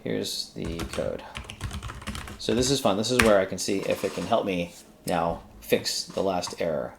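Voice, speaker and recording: Very deep, gravelly man thinking aloud. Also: a little slow, a bit bright, a little hiss or hum. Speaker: tutorial host, accountant who codes. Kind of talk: casual screen-recorded coding walkthrough, thinking aloud.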